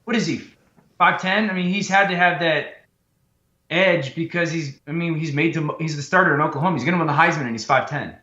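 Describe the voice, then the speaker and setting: Bright-voiced male guest in an echoey room. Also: a little high, brisk, muffled recording. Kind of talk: casual chat.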